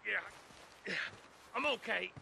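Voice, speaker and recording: A man's voice: sits high, unhurried, creaky, bright, forceful tone, a little hiss or hum, full character voice. An actor playing an edgy, self-assuring outlaw explosives man in a Western video game, casual but tense. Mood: busy, self-assured.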